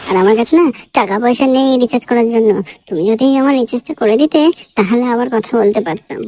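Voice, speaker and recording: A woman's voice: fairly high pitch, fast, warm tone, slight background noise, lightly acted. A woman playing a coy young girlfriend in a comic cartoon, coaxing in a sugary, plaintive way. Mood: wheedling.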